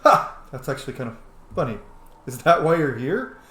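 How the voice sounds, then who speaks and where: A man's voice: medium pitch, big pitch swings, normal speed, a bit bright. A man giving casual, jokey roleplay commentary as he streams a game, swearing freely. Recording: roomy, echoing sound.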